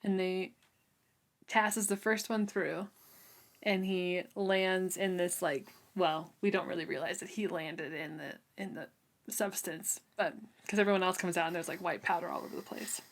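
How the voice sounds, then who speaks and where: A woman's voice: on the low side, fairly even intonation, normal speed. A woman co-hosting a casual book club podcast, rambling and laughing often, with plenty of "um" and "like". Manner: giggly, rambling, many "um"s and "like"s. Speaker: podcast co-host.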